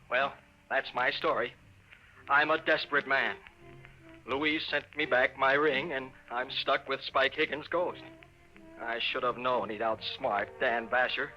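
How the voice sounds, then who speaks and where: A man playing a desperate, haunted young fiancé in an old radio horror-comedy, excitable and exasperated. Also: mid-range voice, unhurried, natural voice. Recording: muffled vintage radio recording, music behind.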